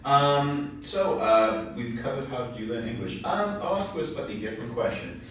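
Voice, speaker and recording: A man's voice: mid-range voice, expressive, moderate pace. Man, test examiner, patient, lots of ums, very echoey room, far from mic. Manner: patient, with frequent fillers. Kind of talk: conducting a mock exam. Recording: echoey room, distant mic, faint noise.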